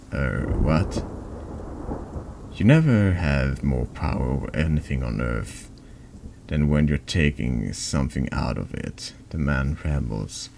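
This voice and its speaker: A man narrates a horror text game in an extremely low voice full of gravelly fry. He reads slowly in an ASMR style, now and then tripping over longer words. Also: darkish tone, a little hiss or hum. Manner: halting reading, stumbles over longer words. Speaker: streamer reading a text horror game aloud. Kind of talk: slow scripted narration, soft horror ASMR.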